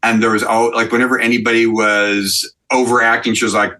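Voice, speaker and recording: A man who writes for television, reflecting on past experiences in a halting, searching way during a podcast conversation. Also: mid-range voice, level tone, pushed and bright. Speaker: television writer, panel guest.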